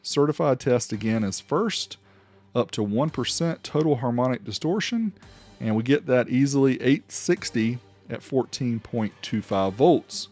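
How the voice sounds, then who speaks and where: A man's voice: mid-range voice, animated, measured pace, a little mellow, soft background music. A man hosting a gear review, narrating tests casually in a matter-of-fact, explanatory way and reading out figures. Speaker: host of an audio gear review channel. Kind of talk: casual product test narration.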